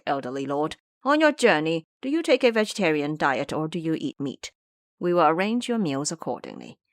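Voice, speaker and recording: A woman's voice: low-pitched, no echo, studio quality. A woman voicing a timid, fawning male abbot, deferential and formal, in an audio drama.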